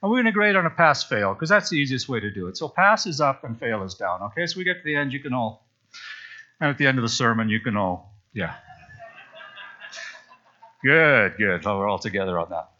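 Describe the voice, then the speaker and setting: A man's voice: mid-range voice, big pitch swings, a little fast, pushed and bright, muffled recording. A man from Zambia preaching a sermon in a church, chatty and storytelling, with frequent asides. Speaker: pastor. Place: church sanctuary.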